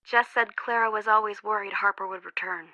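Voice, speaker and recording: A woman's voice: mid-range voice, steady tone, fairly quick, bright, forceful tone, no echo, lightly acted. An actress playing a worried, guarded young woman in a video game, friend to a missing girl. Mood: uneasy, troubled.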